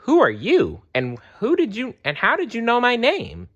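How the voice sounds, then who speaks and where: A man voicing a young storybook schoolgirl who is tired and cranky, in an expressive, childlike way. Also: very high voice, moderate pace, lo-fi sound, pitched above the performer's own voice, full character voice. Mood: startled.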